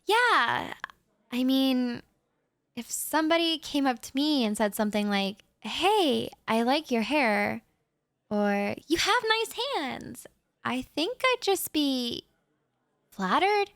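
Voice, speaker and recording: A woman's voice: high voice, expressive, takes it slowly, fairly bright tone, dry recording, studio quality, exaggerated voice. A woman playing a shy, anxious young writer who rambles nervously with stammers and little laughs, talking straight to the listener in a roleplay audio. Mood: shy, rambling.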